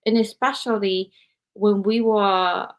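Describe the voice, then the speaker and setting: A woman's voice: mid-range voice, fairly even intonation, slow. Earnest woman host. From Japan. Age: 40s. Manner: earnest, many fillers like "um" and "like". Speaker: podcast host. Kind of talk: interview conversation.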